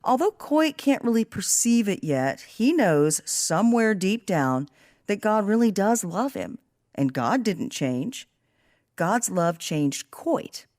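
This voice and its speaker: A woman's voice: mid-range voice, big pitch swings, slow pace, a little mellow. A woman hosting a podcast with scripted, reflective commentary in an earnest, personal, devotional manner. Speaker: podcast host.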